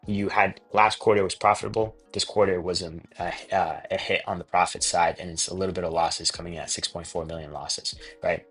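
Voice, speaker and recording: A man's voice: sits low, slightly rough. A man giving casual financial commentary as a channel host, reading out figures and hedging in a rambling, repetitive way. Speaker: host of a stock investing channel.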